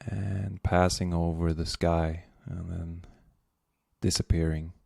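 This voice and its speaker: Slow, very low-voiced man guiding meditation. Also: little pitch movement, creaky, dry recording, studio quality. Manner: gentle, unhurried, with long pauses. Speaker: meditation guide. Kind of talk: guided meditation.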